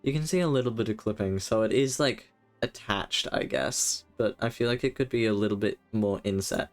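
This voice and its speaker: A man's voice: lowish voice, moderate pace, soft background music. A man giving casual live gameplay commentary as a streamer, rambling and thinking aloud. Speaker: game streamer.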